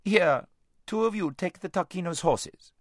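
A man's voice: medium pitch, very animated, normal speed, breathy edge, no echo, clean studio sound. A man voicing a vain, careless young noblewoman in a languid, gushing, affected society drawl for a storybook. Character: vain, lazy-voiced, giggly young noblewoman, loud and careless. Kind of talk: audiobook character voice.

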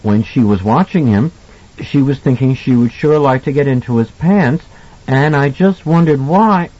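Man voicing an earnest, innocent little boy, lifted pitch. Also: moderate pace, a little mellow, highs cut off, close to an everyday voice. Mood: puzzled, earnest. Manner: polite, innocent, childlike. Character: earnest, puzzled little boy with psychic gift. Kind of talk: audiobook character acting.

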